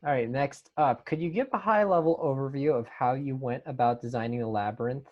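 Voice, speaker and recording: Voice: medium pitch, brisk, muffled recording. Casual Q&A host, mid-range voice, enthusiastic, reads audience questions and comments on them. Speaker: host reading out fan questions. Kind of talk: casual panel Q&A.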